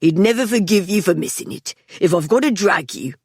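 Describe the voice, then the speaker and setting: A woman reading an audiobook, voicing a bossy, mocking young man who drawls his words slowly. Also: lowish voice, animated, a little mellow, breathy edge, no echo, clean studio sound.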